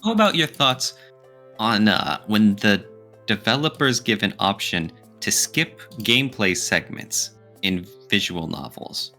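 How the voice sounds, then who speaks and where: Low, bright-voiced man, opinionated podcaster. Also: lively intonation, a little slow, faint music behind. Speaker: podcast co-host. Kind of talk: casual podcast discussion of video games.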